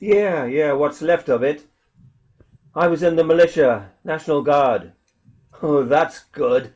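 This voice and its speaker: An actor playing a jumpy, defiant militiaman surviving alone, with a folksy, halting, agitated delivery in a radio play. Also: fairly high pitch, a little mellow. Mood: agitated, bitter. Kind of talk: dramatic radio play dialogue.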